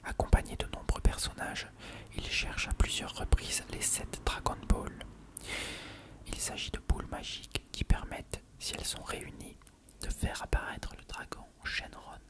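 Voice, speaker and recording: ASMR host, fully whispered, breathy and soft, for hushed encyclopedia-style reading. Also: normal speed.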